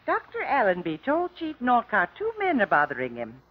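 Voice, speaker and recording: A woman's voice: a little high, wildly swooping pitch, normal speed. An actress playing a polite, businesslike and helpful female hotel switchboard operator in a radio drama. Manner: courteous, businesslike. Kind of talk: old radio drama acting. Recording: muffled vintage radio drama.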